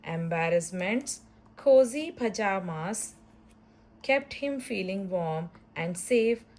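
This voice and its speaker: A woman narrates a children's storybook aloud. Her pace is slow and deliberate, with a medium pitch. She reads expressively, as if to young listeners. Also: animated, a little mellow. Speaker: storybook reader.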